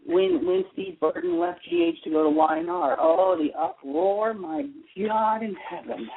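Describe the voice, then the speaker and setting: A talkative American man with a gravelly, slightly high voice gives emphatic opinions in loose, rambling radio chat. The sound is thin, like a telephone call. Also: moderate pace. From United States. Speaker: guest commentator, soap opera fan. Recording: tinny phone line into internet radio.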